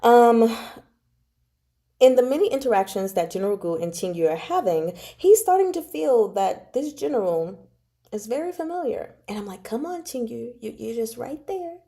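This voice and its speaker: A woman chatting casually as a book club host, recapping a novel with gushing, slangy asides and drawn-out emphasis. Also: a little high, animated, warm tone.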